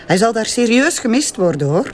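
A woman's voice: fairly high pitch, very animated, moderate pace. A woman with a Flemish accent playing a shrewd, confident investigative tabloid journalist in an audio drama, with a knowing, teasing manner. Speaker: actress in an audio crime drama. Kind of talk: naturalistic audio drama dialogue.

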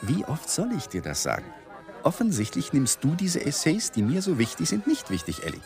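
An actor playing a defensive young lawyer under suspicion in a German radio drama, brittle and sarcastic. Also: male voice, on the high side, wildly swooping pitch, brisk, over music.

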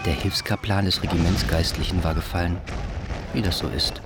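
A male actor playing a mild, dreamy older father in a radio drama, calmly and earnestly explaining strange beliefs as plain fact. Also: low-pitched, brisk, noisy background. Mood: matter-of-fact.

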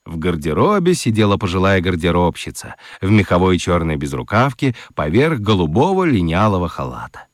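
A man narrating a children's story in an expressive, theatrical storytelling manner. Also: low-pitched, a little fast, few pauses, no room sound, clean studio sound.

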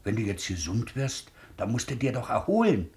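An actor playing a kindly, weary middle-aged ex-convict shoemaker, gentle and colloquial, in a 1950s radio play. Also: male voice, medium pitch, big pitch swings, moderate pace, natural voice. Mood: gentle, reassuring. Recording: 1950s radio drama recording.

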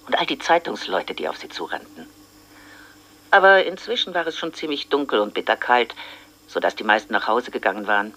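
An actor playing a sheriff's spouse, giving an anxious eyewitness account in a documentary radio drama. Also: male voice, lowish voice, lively intonation, brisk, pushed and bright. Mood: uneasy recollection. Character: anxious sheriff's spouse recalling the prisoners' arrival.

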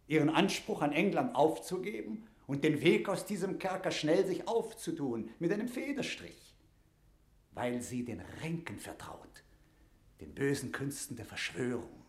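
A man's voice: on the high side, big pitch swings, moderate pace, echoey room. An actor playing a stern, suspicious middle-aged English knight who guards a captive queen, with solemn, declamatory diction in a classical German verse radio drama. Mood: indignant.

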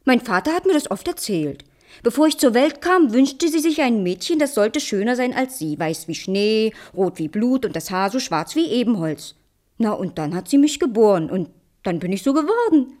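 High-voiced, innocent young princess. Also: female voice, very animated, moderate pace, a little mellow. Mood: disbelieving, pleading. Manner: naive, earnest, pleading. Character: innocent, trusting young fairy-tale princess. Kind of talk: German radio play, theatrical.

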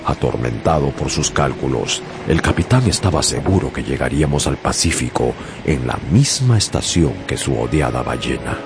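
Very deep gravelly male narrator. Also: lively intonation, background noise. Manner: measured storytelling delivery. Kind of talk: scripted radio narration. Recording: music bed under the voice.

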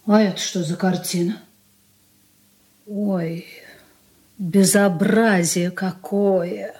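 Radio drama: nosy, discontented married daughter, mid-pitched, brisk, complaining. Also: female voice, fairly even intonation, darkish tone. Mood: appraising. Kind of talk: radio play acting.

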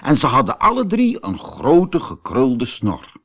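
A man narrating a children's fairy tale audiobook in an expressive storytelling manner. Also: mid-range voice, a little slow, breathy edge.

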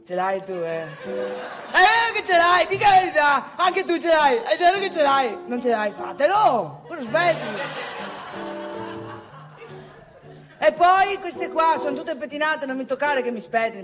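A woman acts out a cocky provincial bar-goer from northeastern Italy in a stage comedy monologue. The voice is very high for a man, with faint music around it. The talk is grumbling and colloquial, full of boasts and repeated phrases thrown straight at the listeners. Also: sing-song, normal speed. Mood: teasing. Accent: northeastern Italian. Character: brash, grumbling provincial young man chasing women in bars. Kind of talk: theatrical comic monologue.

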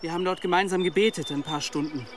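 A man's voice: sits high, fast talker, noisy background, natural voice. A man playing an anxious, guilt-ridden young monk in a German radio play, hesitant and pleading. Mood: evasive.

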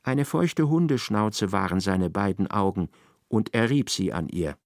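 An actor as the wry, knowing narrator of a radio play, reading literary prose in a measured way. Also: male voice, fairly low pitch, expressive, darkish tone, dry recording, clean studio sound.

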